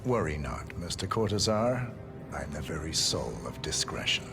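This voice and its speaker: An actor playing a dignified male wine steward in a video game, speaking in a formal, deferential, measured way. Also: extremely low pitch, big pitch swings, heavily acted. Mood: courteous. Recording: music under the voice.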